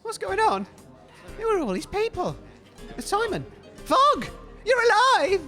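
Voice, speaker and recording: A man playing a nervous, excitable radio broadcaster giving melodramatic commentary on his own peril in a comedy audio drama. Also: very high voice, slow, soft background music, exaggerated voice. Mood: panicked, distraught.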